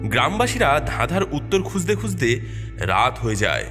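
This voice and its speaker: Animated male storyteller over music. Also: fairly high pitch, normal speed. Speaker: narrator. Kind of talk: expressive scripted cartoon storytelling.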